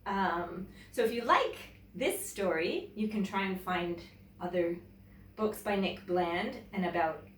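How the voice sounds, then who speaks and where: A woman teacher with a Canadian accent tells a children's story in an unhurried, expressive way. Her intonation is lively. The room around her adds a noticeable echo. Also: mid-range voice, far from the mic, a little hiss or hum. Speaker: teacher reading stories to children. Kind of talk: children's storybook read-aloud with casual introduction. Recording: roomy echo, away from the mic.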